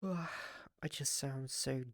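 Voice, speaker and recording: A live streamer with a raspy, groggy morning voice, chatting casually with viewers and rambling with many fillers and restarts. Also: mid-range voice, extremely slow, a bit bright, no echo, clean studio sound.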